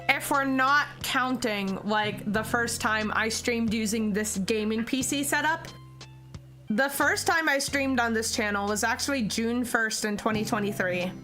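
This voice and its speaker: A woman's voice: a little high, pushed and bright. A young American woman streaming, chatting casually with her viewers and giggling often. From United States. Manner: giggly, chatty, answers chat questions.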